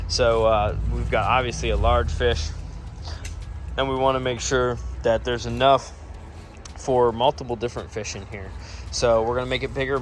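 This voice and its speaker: A man giving an upbeat, informal walkthrough as a builder hosting a project video outdoors. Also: medium pitch. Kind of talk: casual on-site project walkthrough. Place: outdoors at a backyard pond construction site. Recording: noisy outdoor site, vehicle and ambient noise.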